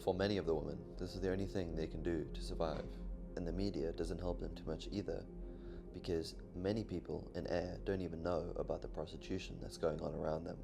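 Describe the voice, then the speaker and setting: Male narrator, low and gravelly, measured scripted read, music bed. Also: steady tone. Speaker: documentary narrator. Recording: music behind the voice.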